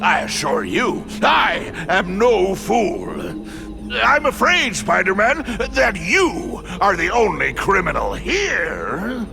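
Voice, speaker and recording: A powerful, crooked businessman from a video game. His speech is pompous and emphatic, swinging widely in pitch with a creaky edge. Music plays behind him. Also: sing-song, full character voice. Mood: smug, mocking. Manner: pompous, mannered, halting emphatic delivery. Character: smug, crooked, powerful businessman, condescending villain. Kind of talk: theatrical video game villain acting.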